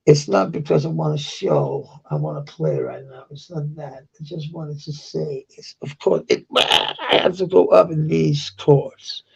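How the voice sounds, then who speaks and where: A man from Denmark with a Danish accent and a sore, gravelly voice, talking at length in a halting, rambling monologue. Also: on the high side, animated, lo-fi sound. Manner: halting, with many restarts and fillers. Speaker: vlogger.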